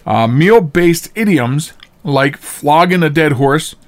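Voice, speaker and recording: A man's voice: a little high, lively intonation, slow pace. An American man hosting a podcast solo, talking casually with rambling, chatty asides. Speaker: podcast host.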